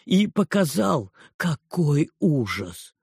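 Guy doing a high shrill dramatic auntie, loud and fussy. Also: sing-song, slow pace, vocal fry, breathy edge, no echo, studio quality, heavily acted. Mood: hysterical. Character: hysterical fussy aunt, middle-aged woman.

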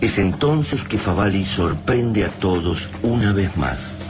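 A man with a Rioplatense accent narrating a radio drama in a grave, ominous, deliberate manner. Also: sits low, expressive, noisy background. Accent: Rioplatense Spanish. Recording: narration over loud music.

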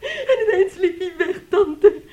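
An actress playing a fragile, anxious young niece in a Dutch radio play, pleading and hesitant. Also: female voice, very high-pitched, expressive, slow pace, darkish tone, dull audio, full character voice. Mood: tearful, distressed. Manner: plaintive, hesitant, earnest.

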